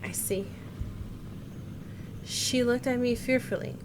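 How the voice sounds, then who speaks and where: A woman's voice: fairly high pitch, slow pace, noisy background. A woman streamer reading visual novel text aloud, expressively voicing the narration and character lines. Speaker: game streamer. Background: game music.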